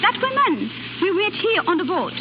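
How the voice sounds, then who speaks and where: A woman's voice: extremely high pitch, big pitch swings, normal speed. A woman playing a temperamental young French woman with a French accent and plaintive broken English in a 1930s radio drama. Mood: wry, uneasy. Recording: noisy, muffled 1930s radio recording.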